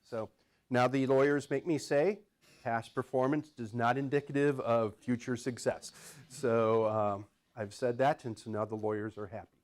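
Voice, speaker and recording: A man's voice: mid-range voice, steady tone, moderate pace, a little mellow. Male professor, wry conversational lecturer, small-room live talk. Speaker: economics professor, featured speaker.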